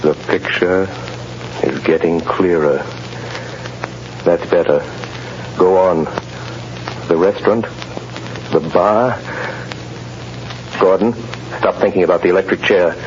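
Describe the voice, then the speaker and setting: A man playing a mysterious, commanding vigilante in an old-time radio drama, with deliberate, dramatic delivery. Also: low voice, fairly even intonation. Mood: intense concentration. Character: mysterious commanding male mind-reading vigilante, urgent and intense. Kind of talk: 1930s-40s radio acting. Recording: muffled, noisy old radio broadcast recording.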